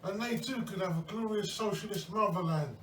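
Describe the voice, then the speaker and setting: A man's voice: sits high, fairly quick, fairly bright tone. A man playing a zealous, wounded Soviet soldier in an amateur war role-play, declamatory and repeating his phrases. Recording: echoey, distant, noisy room recording.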